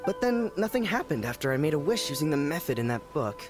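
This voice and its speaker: A man's voice: on the high side, sing-song, quick pace. A man voicing a thoughtful ordinary townsman, a keen reader, in video game dialogue. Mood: reflective. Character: ordinary townsman, thoughtful light novel fan. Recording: music behind.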